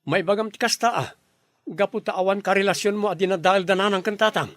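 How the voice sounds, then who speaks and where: An earnest young Filipino son defending his love in a radio drama. His voice sits high for a man and sounds frustrated and pleading. The recording is dry and close. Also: steady tone, fast, fairly bright tone, dry recording. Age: young adult. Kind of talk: Ilocano radio drama acting.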